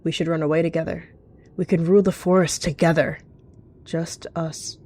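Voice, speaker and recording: A woman's voice: low voice, level tone, moderate pace, mellow. A woman voicing an evil rogue cat, intense, possessive and scornful, in a roleplay drama over music. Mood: coaxing.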